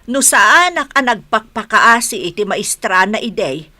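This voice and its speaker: A woman's voice: fairly high pitch, animated, measured pace, sharp tone, no echo. A woman acting a practical, anxious middle-aged mother of grown children in an Ilocano radio drama. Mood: concerned.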